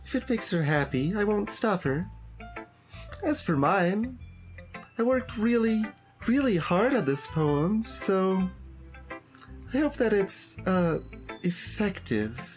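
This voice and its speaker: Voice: mid-range voice, big pitch swings, slow pace, pauses often, voice pushed up. A game streamer voicing a polite, earnest teenage schoolgirl in a visual novel, hesitant and apologetic. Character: polite, earnest teenage schoolgirl, anxious about her poem. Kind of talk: reading visual novel dialogue in character. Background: game music.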